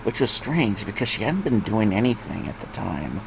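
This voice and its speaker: Creaky-voiced man reading a story. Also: lowish voice, very animated, moderate pace, noisy background. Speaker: narrator. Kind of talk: expressive fan fiction story reading.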